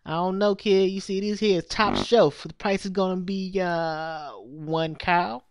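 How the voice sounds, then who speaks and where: A man's voice: high-pitched, unhurried, over music, pitched above the performer's own voice. A man voicing a slick, shady street-hustler con man in a comic story, with slangy sales patter. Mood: shady, haggling.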